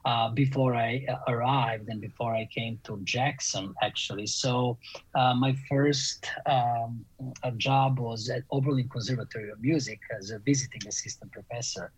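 A middle-aged man with a Serbian accent, a composer and professor, answering interview questions in a halting, reflective way with many pauses. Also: medium pitch, fairly even intonation, breathy edge, poor audio.

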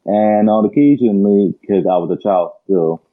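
A man in his twenties with Arabic as his first language and a mixed accent, answering interview questions with frequent pauses to find words. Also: on the low side, narrow, tinny audio. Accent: mixed Arabic-influenced English with American and British touches. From Everett, Washington, US. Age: 20s. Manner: hesitant, searching for words with frequent uhs. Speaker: guest.

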